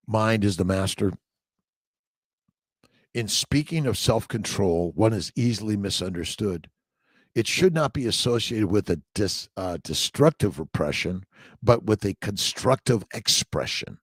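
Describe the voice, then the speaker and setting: A man's voice: lowish voice, expressive, unhurried, husky, no echo. An American man hosting an informal motivational livestream, exhorting listeners in short repeated phrases and loose asides. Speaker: host, fertility doctor. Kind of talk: informal morning livestream, motivational chat with read-aloud passages.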